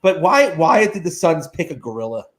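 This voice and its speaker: A man's voice: fairly high pitch, sing-song, normal speed. A man giving joking opinions in casual sports banter as a panelist on a talk show.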